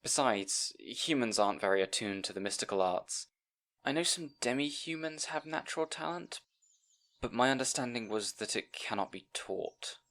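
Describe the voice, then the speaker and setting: A man's voice: medium pitch, expressive, moderate pace, a bit bright. A man playing a timid, bookish young scribe in a fantasy audio roleplay, hesitant and apologetic, rambling and stammering. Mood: taken aback, sheepish. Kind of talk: audio roleplay acting addressed to the listener.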